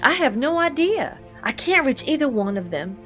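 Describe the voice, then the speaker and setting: An actress playing a worried, emotional grown daughter in an audio soap opera. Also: female voice, sits high, very animated, moderate pace, fairly bright tone, soft background music.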